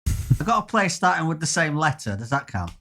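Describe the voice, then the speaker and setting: A man's voice: on the high side, sing-song, brisk, a bit bright. A man chatting in casual banter on a podcast.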